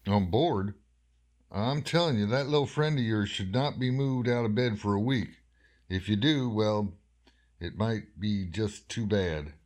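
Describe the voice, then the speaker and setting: A man playing a folksy, suspicious older country doctor in a radio horror drama, measured and skeptical. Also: fairly low pitch, a little mellow, natural voice. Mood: matter-of-fact.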